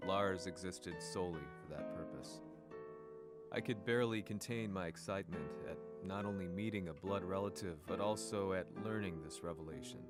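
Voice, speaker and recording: A man playing a brooding, vengeful journalist, narrating his story in a solemn, measured, scripted way for a video game. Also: deep voice, lightly acted. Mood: solemn, bitter. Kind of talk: scripted dramatic first-person narration. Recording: loud guitar music behind.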